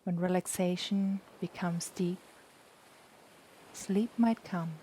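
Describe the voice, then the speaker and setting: A woman guiding a yoga nidra relaxation in a soft, soothing, unhurried voice with long pauses. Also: fairly low pitch, rough recording. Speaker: yoga nidra meditation guide. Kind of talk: guided relaxation meditation. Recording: steady background noise, ocean waves. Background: sound of the ocean.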